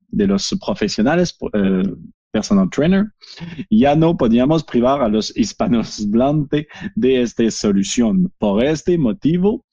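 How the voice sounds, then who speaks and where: A French Canadian man speaking Spanish as a second language, with a Québécois French accent, presenting a software webinar in a halting way with many fillers. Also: medium pitch, no room sound, muffled recording. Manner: halting, frequent "eh" fillers and restarts. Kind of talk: product demo webinar.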